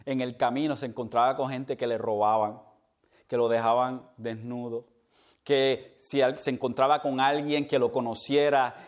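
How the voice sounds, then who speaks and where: A man's voice: mid-range voice, fairly even intonation, moderate pace. A man preaching a sermon as pastor, slipping in English words and laughing at his own stumbles.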